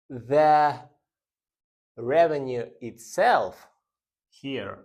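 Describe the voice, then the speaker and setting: Slow, halting male finance instructor. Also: medium pitch, darkish tone. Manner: halting, deliberate delivery in short phrases. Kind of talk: explanatory lecture.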